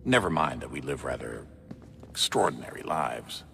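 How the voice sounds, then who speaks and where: An actor playing a stern middle-aged father in a game, speaking in a halting, earnest, remorseful way. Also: very deep voice, expressive, gravelly, fairly bright tone, a little hiss or hum, heavily acted. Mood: apologetic. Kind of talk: video game cutscene acting.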